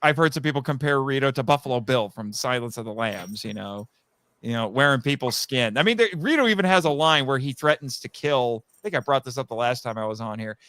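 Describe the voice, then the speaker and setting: Bright, clear-voiced man chatting animatedly. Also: mid-range voice, fairly quick, no echo. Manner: animated storyteller, acts out quotes. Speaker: guest, audio drama writer. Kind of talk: casual chat.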